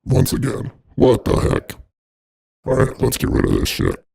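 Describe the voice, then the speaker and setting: A man with a gravelly, husky, effected voice narrating a product unboxing in a casual, sweary, irreverent way. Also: medium pitch, huge pitch swings, unhurried, mellow. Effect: voice changer processing.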